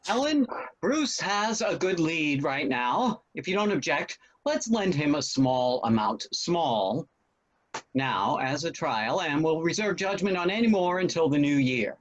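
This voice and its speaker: Male actor as cautious father, hesitant, lively intonation, husky voice, dull lo-fi table-read recording. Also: fairly high pitch, a little slow. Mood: conciliatory. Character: skeptical, cautious but kindly father of a grown son. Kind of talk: virtual table read of a stage comedy-drama. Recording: dull, lo-fi call audio.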